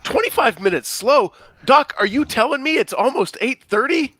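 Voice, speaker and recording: A man reading the part of an anxious, defensive teenage high-school rocker at a script table read. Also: lively intonation, moderate pace, fairly bright tone, a little hiss or hum. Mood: alarmed.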